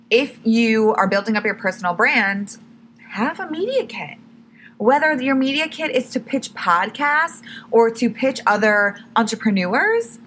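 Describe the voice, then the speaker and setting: A young woman hosts her own podcast in a loose, chatty way, full of "like" and quick self-corrections. Her voice is bright and clear, with a little hoarseness creeping in. A faint hum of the room sits underneath. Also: medium pitch. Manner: chatty, self-correcting, says "like" and "literally" a lot. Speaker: podcast host. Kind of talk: casual solo podcast tips.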